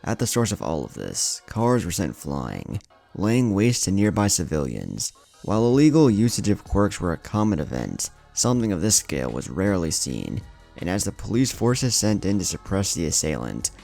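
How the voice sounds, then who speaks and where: A man's voice: low-pitched, very animated, normal speed, creaky, darkish tone. A man narrating a scripted dramatic story as the host of a story channel. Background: faint music.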